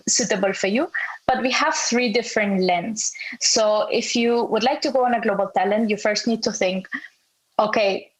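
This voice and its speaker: A woman's voice: medium pitch, rough recording. A young woman from Austria giving an online webinar presentation in a friendly way, with many ums and restarts, over a video call.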